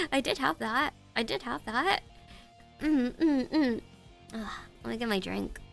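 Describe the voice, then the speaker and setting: Congested, gravelly, giggly woman streamer. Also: high-pitched, lively intonation, slow, a bit bright, faint music behind. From United States. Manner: giggly, rambling, stumbles over words. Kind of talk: casual live-stream chat.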